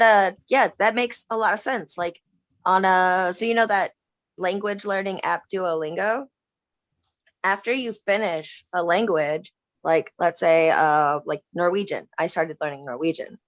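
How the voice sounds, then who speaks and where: A woman in an online drawing group, chatting eagerly and halting often as she thinks aloud. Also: mid-range voice, no echo. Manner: enthusiastic, halting, many fillers and restarts. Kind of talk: casual chat on a video call.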